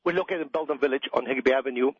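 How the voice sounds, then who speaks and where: A man's voice: mid-range voice, very fast, breathy edge, no echo. A middle-aged man with an Iraqi accent speaking as an expert guest in a conversational radio interview, emphatic and given to repeating phrases. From Iraq. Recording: dull, dry radio audio.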